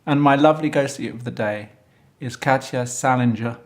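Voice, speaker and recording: A middle-aged man runs a relaxed interview. He talks in a chatty, leading way, steering his guest with quick prompts and finishing her sentences. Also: medium pitch. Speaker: host, fashion photographer. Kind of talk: casual interview chat.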